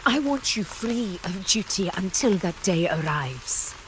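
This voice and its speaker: A woman playing a proud, unflappable warrior ruler in a video game, with measured, grand phrasing and a teasing streak. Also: medium pitch, lively intonation, a little hiss or hum, natural voice, rough recording. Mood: calm, commanding.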